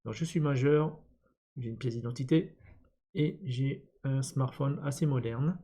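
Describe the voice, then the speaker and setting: Mellow-voiced man giving a hesitant tutorial. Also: medium pitch, measured pace, husky. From born in Vietnam. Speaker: tutorial presenter, instructor. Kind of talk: unscripted step-by-step screen tutorial. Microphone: computer screen-recording voice-over.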